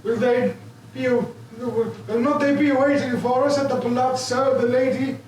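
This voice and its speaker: A high, echoing, desperate doomed nobleman. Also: fairly even intonation, a crowd in the background. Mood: faltering, pleading. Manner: halting, stammering, repeating words. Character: drunken walled-in nobleman, desperate, feebly joking, pleading. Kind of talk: gothic audio drama acting. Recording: cavernous echo, distant from the mic.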